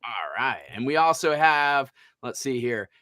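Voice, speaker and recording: Guy hosting a live stream, bright punchy voice, jokey, dry sound. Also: mid-range voice, measured pace, no echo. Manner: animated, joking, greets viewers by name. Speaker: live-show host. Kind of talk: casual live-stream chat.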